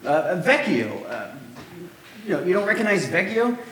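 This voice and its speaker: An actor playing an eager young shuttle driver and struggling sculptor in live musical theatre, with halting, casual, slangy speech. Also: male voice, high voice, sing-song, a little hiss or hum. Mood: chummy, excited. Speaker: stage actor in a musical reading. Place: indoor live staged reading. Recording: roomy live stage recording, loud music.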